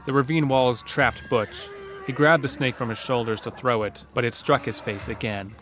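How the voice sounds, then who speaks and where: A man's voice: mid-range voice, fairly even intonation, normal speed, fairly bright tone, soft background music. A man narrating a scripted western horror story for an audio drama.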